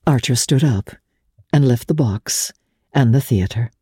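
A woman giving a dramatic reading of literary fiction as an audiobook narrator, with measured, expressive and deliberate phrasing. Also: a bass voice, breathy edge, no room sound, studio quality.

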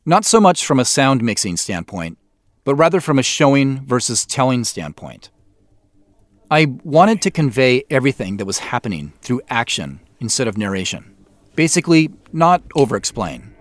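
Guy doing scripted behind the scenes commentary, mid voice, pitch moves around a lot. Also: sing-song, moderate pace, no echo. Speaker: audio drama creator giving director's commentary.

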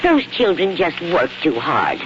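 A woman's voice: fairly low pitch, huge pitch swings, measured pace. An actress playing a wistful, devoted elderly mother in a 1940s radio drama, speaking in a homely, reflective way. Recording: muffled 1940s radio broadcast with music.

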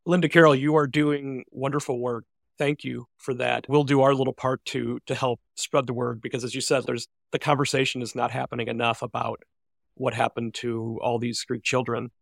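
A man's voice: medium pitch, level tone, moderate pace, gravelly, no room sound, clean studio sound. A man hosting a podcast in a conversational interview style. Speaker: podcast host.

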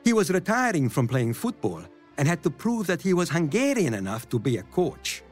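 A man's voice: on the high side, big pitch swings, moderate pace, soft background music. An actor playing a grown football star who fondly and wryly tells of his boyhood. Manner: fond, wry first-person storytelling.

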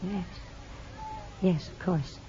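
An American woman playing an anxious mother longing for her child, earnest and halting, in 1950s radio drama style. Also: fairly low pitch. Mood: pleading. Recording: muffled, noisy old radio broadcast.

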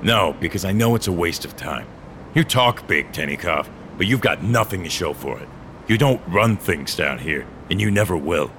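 An actor playing a weary, bitter old courier, a gruff and sardonic loner, in a noir science-fiction audio drama. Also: male voice, low voice, expressive, moderate pace, fairly bright tone, a little hiss or hum. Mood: sardonic, defiant. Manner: brooding, world-weary, sardonic. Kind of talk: first-person noir audio drama acting.

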